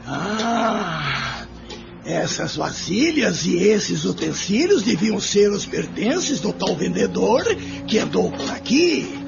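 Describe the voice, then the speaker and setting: An actor playing an inquisitive, deferential neighbor in a radio drama, with a gravelly, animated delivery. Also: male voice, high-pitched, full character voice. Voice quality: very creaky, gravelly. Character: inquisitive rustic male neighbor examining buried finds. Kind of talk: amateur radio drama acting. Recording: muffled recording with music behind.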